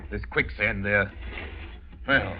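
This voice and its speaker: An actor playing a suave, sinister aristocratic general who hunts men, urbane and mock-courteous, in 1940s radio drama style. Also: male voice, lowish voice, takes it slowly, a little hiss or hum. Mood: courteous, calculating. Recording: muffled old radio recording.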